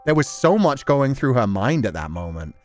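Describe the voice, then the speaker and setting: A man's voice: mid-range voice, very animated, normal speed, faint music behind. A man giving casual, emotive live commentary as a game streamer, swearing freely and acting out characters' thoughts as they analyse a story. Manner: emotive, acts out imagined inner thoughts, sweary.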